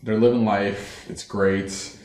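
A young man hosting a podcast, chatting casually about movies in a monotone, rambling way. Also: on the low side, slow pace, reverberant, background noise. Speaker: podcast host.

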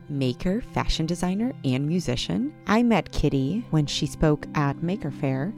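A woman's voice: low-pitched, a little mellow. A woman hosting an interview show, reading a scripted introduction and asking curious, enthusiastic questions. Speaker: podcast host.

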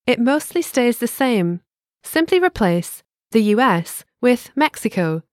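A woman narrating a scripted language lesson, giving clear, measured instructions. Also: on the high side, sing-song, dry recording, studio quality.

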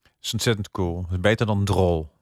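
A man's voice: sits low, steady tone, brisk, darkish tone, no echo, studio quality. A man, chatting casually as a podcast co-host. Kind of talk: casual chat.

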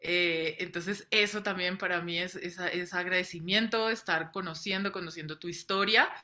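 Bright-voiced woman guest. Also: lowish voice, fairly even intonation, normal speed. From Colombia. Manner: earnest, checks in with "¿sí?". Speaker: guest, personal branding coach. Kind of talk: interview storytelling. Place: home in Colombia. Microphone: video call.

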